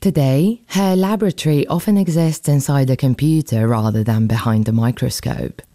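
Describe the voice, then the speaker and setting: A woman reporter reading a scripted radio feature in a measured, storytelling way. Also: rumbling low, dark tone, no room sound, studio quality.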